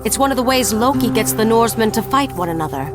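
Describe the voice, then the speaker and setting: Woman acting a composed Norse servant of Odin, highish voice. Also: expressive, quick pace, music in the background, close to an everyday voice. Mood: grave, informative. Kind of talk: dramatic video game cutscene acting.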